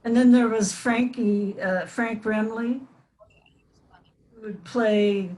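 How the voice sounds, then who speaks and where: A woman's voice: on the high side, fairly even intonation, slow pace, darkish tone, low-quality recording. An older American woman reminiscing casually as a panel guest, hesitant as she recalls details. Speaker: panel guest, former child radio actress.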